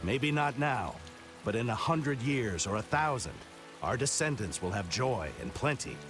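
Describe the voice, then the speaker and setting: A middle-aged village farmer in a video game, stubborn yet hopeful. His mid-pitched voice moves expressively, earnest and firm, with music underneath. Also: big pitch swings, a little slow. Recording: music behind.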